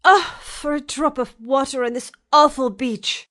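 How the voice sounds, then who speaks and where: A woman's voice: high-pitched, a little slow, fairly bright tone, pitched above the performer's own voice. A woman playing a shrieking, desperate noblewoman. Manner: shrieking, hysterical. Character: desperate young noblewoman, torn between hope and fear. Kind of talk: audiobook character voice.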